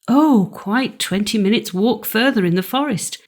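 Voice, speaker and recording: A woman's voice: a little high, expressive, moderate pace, lightly acted. A woman voicing an innocent, polite little girl in a storybook, sweet and full of naive wonder.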